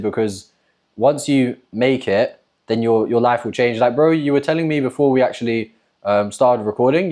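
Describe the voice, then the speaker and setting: A man's voice: mid-range voice, a little mellow. A young man hosting a casual interview, talking in a slangy, encouraging way like a mentor among friends. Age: young adult. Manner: slangy, encouraging, often says "bro" and "like".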